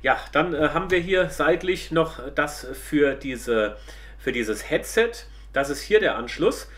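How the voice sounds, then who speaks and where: A man's voice: a little high, expressive, a little slow, fairly bright tone. A middle-aged man, casually explaining a product to viewers as he reviews it. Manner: explanatory, addresses viewers directly.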